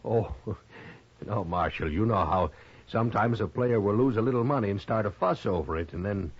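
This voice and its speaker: Husky, swooping, slick gambler. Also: male voice, on the low side, expressive, moderate pace. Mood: ingratiating. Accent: American. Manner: smooth-talking, persuasive. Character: slick, wheedling crooked gambler in the Old West. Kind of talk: 1950s radio western acting. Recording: muffled vintage radio recording.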